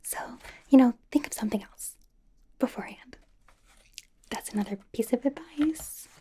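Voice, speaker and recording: A woman playing a bubbly, chatty young female K-pop idol in an ASMR roleplay, rambling casually and confidingly in a breathy whisper. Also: fairly high pitch, normal speed, warm tone, natural voice. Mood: friendly, confiding. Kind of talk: ASMR personal attention roleplay.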